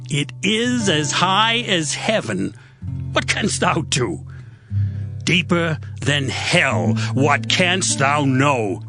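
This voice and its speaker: An actor playing a stern, self-assured accuser in a dramatized scripture reading, admonishing solemnly with rhetorical questions and exhortation. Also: male voice, on the high side. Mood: challenging. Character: Zophar, stern self-assured accuser preaching repentance to a sufferer. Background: guitar music.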